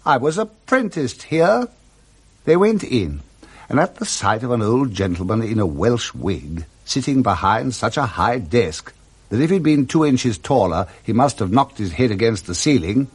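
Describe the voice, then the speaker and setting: A man narrating a literary tale for 1960s radio drama in a theatrical, expressive storytelling manner. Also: on the low side, normal speed, husky.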